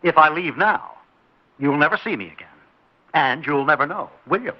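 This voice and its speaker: A man's voice: fairly high pitch, expressive, moderate pace. An actor playing a smug, mysterious male houseguest in an old radio drama, talking in a cool, mocking way. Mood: teasing. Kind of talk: 1950s radio drama acting. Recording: dull old radio recording.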